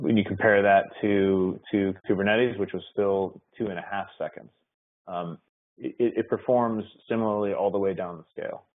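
A man presenting benchmark results at an online meetup, talking in a halting way with many fillers and restarts. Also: sits low. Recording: tinny phone-like web call audio.

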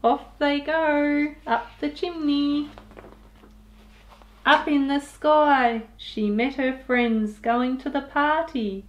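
Casting: female storytime reader, 30s, highish voice, extremely unhurried delivery, expressive with young listeners. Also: lo-fi sound. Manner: expressive, deliberate, with sound effects and asides to listeners. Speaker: children's storytime host. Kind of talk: picture-book read-aloud for young children.

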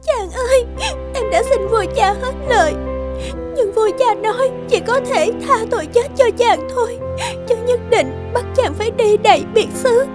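A high-pitched, pleading young princess. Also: female voice, normal speed, over music. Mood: tearful pleading. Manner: tender, pleading. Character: gentle, faithful young princess, anxious loving wife. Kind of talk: animated folk-tale dialogue.

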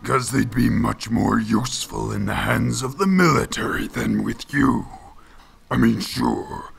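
A man voicing a scheming, grandiose evil mask spirit in a cartoon game parody, gravelly and theatrical. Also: lowish voice, pushed and bright, full character voice. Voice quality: gravelly, raspy. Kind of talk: parody dub villain acting.

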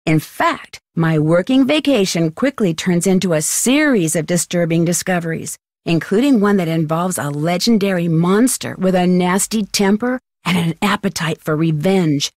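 A woman's voice: fairly low pitch, moderate pace, hardly any pauses, darkish tone, no room sound, studio quality, lightly acted. A woman voicing a plucky, inquisitive young amateur detective with an American accent in an adventure game, chipper and conversational. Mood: inviting, intriguing. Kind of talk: scripted adventure game dialogue.

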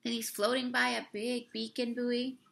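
A woman's voice: a little high, little pitch movement, normal speed, vocal fry, a bit bright. A young woman reading a picture book aloud to children, expressively drawing out words, talking through the pictures and asking her listeners questions. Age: young adult. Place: small room indoors.

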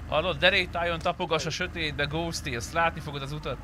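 A man's voice: on the high side, fairly even intonation, bright, forceful tone, slight background noise. A man chatting casually and crudely with friends during a roleplay game. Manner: foul-mouthed, slangy.

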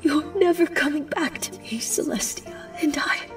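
A woman's voice: high voice, moderate pace, lightly acted. Woman doing sad regal pony princess, formal n whispery, audio drama, sounds far from mic w music under. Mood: tearful longing. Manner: formal, breathy, often dropping to a whisper. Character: grieving regal mare princess of the night, remorseful. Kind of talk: fan audio drama acting.

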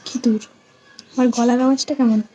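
Teasing, quick-talking West Bengal woman. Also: a little high, level tone, dark tone. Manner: teasing, coy, evasive. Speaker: prank caller. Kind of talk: casual phone chat, playful prank. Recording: clearly noisy background.

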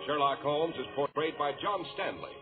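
A male American announcer delivers scripted copy in the polished manner of 1940s radio. His intonation swings widely and emphatically. The vintage broadcast is slightly dull, with music clearly heard. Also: a little high, lively intonation, moderate pace.

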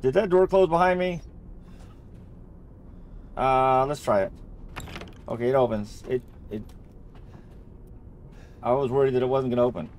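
A man giving casual, chatty gameplay commentary, thinking aloud and getting excitable. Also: mid-range voice, a little slow, long pauses, slight background noise. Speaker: gamer recording a play-through.

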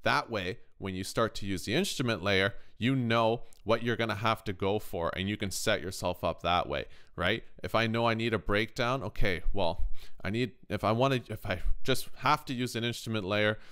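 A man hosting a casual software tutorial, explaining conversationally and often checking in with the listener. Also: on the low side, moderate pace, clean tone. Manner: conversational, checks in with "right?" and "okay?". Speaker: tutorial host, music production YouTuber.

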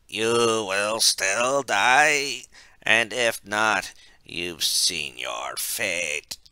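Man voicing a slow, whispery menacing electric creature. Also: on the low side, animated, bright, forceful tone, clear, resonant tone, no room sound, heavily acted. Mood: ominous threat. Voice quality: whispery, staticky.

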